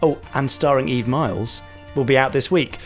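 A man's voice: medium pitch, moderate pace, faint music behind. Chatty man co-hosting a podcast. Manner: chatty, self-deprecating. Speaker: podcast co-host. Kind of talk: casual chat and announcements.

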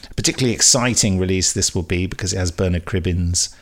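A man's voice: low-pitched, quick pace. A man presenting a podcast in a chatty, jokey, self-mocking and digressive way. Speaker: podcast host.

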